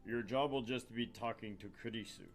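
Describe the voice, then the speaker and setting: A man voicing a genial, apologetic professor in an anime game read-aloud, with an emphatic, deliberate delivery. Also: mid-range voice, a little hiss or hum, lightly acted. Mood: earnest, apologetic. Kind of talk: visual novel character read-aloud.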